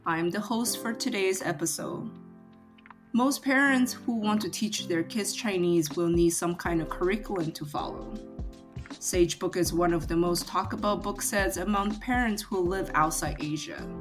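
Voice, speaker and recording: A woman's voice: lowish voice, a little slow. A woman hosting a podcast, reading an introduction and then asking questions. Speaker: podcast host. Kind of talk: scripted introduction then interview. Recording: music bed under voice.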